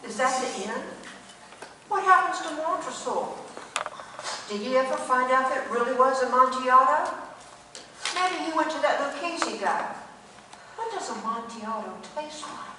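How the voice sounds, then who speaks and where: Woman playing a bright-voiced, cheeky, curious listener. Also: high-pitched, expressive, moderate pace, pauses often. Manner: wry, inquisitive, casual. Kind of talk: radio play acting. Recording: echoey hall, far from mic, faint noise.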